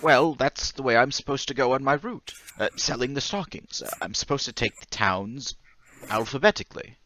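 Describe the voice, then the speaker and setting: Man acting timid, hesitant traveling salesman, lively pitch. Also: normal speed. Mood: anxious, confessional. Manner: hesitant, apologetic, stammering, overly polite. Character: timid, troubled, deferential male traveling stocking salesman. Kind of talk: old-time radio drama acting.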